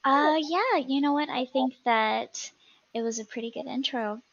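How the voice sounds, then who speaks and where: A woman guest answering interview questions in a soft-spoken, giggly, slightly hesitant way. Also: high-pitched, moderate pace, vocal fry, no echo, lo-fi sound.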